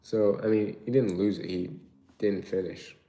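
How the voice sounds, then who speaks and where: A man's voice: on the low side, fairly even intonation, normal speed, warm tone. A young man from Turkey chatting casually on a live stream, reading out viewer questions and rambling through his answers.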